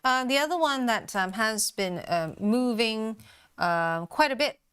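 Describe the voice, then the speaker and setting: A woman runs a live market show in a smooth, clear voice, chatting through the day's stock movers. She talks casually, with plenty of ums and uhs, and stays upbeat. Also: on the high side, animated, unhurried, a bit bright. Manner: chatty, many fillers, upbeat with stock updates. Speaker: trading show host. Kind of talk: live market commentary chat.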